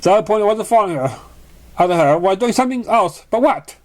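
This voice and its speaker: A man's voice: sits high, expressive, quick pace. A man reading a story aloud as an amateur narrator, stumbling over words now and then. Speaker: amateur reader of fan fiction.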